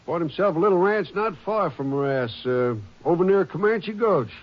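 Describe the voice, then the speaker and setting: Small-town sheriff, drawl, obliging, animated intonation, muffled vintage radio. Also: male voice, sits high, normal speed. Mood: helpful. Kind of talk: 1950s radio drama acting. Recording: noisy muffled old radio broadcast.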